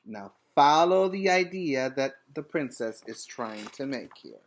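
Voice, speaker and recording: A man's voice: medium pitch, lively intonation, a little slow. A man reading a storybook aloud as a preschool teacher, with simple, instructive asides to the children.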